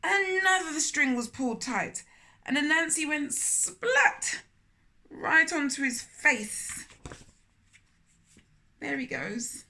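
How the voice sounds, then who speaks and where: A woman reading a children's story aloud in an expressive manner, often turning to question young listeners directly. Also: high voice, takes it slowly, pauses often. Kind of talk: animated children's read-aloud with asides to listeners.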